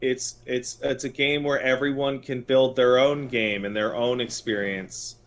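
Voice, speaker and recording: A man's voice: mid-range voice, fairly even intonation, unhurried. A man co-hosting a video game podcast in casual conversation, giving blunt, sarcastic, exasperated opinions.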